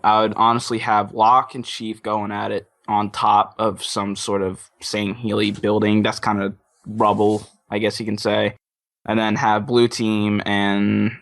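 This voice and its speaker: Bright-voiced man in casual podcast chat. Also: fairly low pitch, vocal fry. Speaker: podcast panelist.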